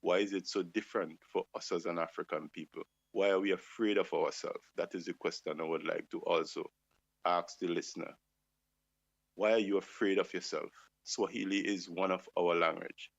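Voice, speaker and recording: A man's voice: sits low, fairly even intonation, moderate pace, gravelly, no echo. A man on a talk show, speaking with passion and firing off rhetorical questions. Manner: impassioned, asks rhetorical questions. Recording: dull lo-fi dry sound.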